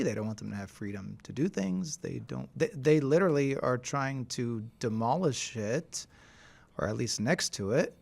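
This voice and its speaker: A man's voice: medium pitch, creaky. A man co-hosting a podcast, who argues his opinions in a casual, emphatic and insistent way. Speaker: podcast co-host.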